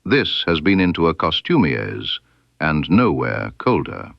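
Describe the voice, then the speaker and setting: Man narrator playing keen male detective, deep gravelly voice, composed, precise, storybook mystery. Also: very animated, no echo, highs cut off, full character voice. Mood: brisk, exacting. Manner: precise, deliberate, quietly probing. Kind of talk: audiobook character dialogue.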